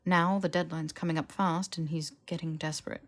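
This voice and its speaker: A woman voicing a stubbornly earnest young man in an audiobook, wry, halting and self-justifying. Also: deep voice, flat delivery. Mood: wry explaining. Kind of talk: dramatized audiobook dialogue.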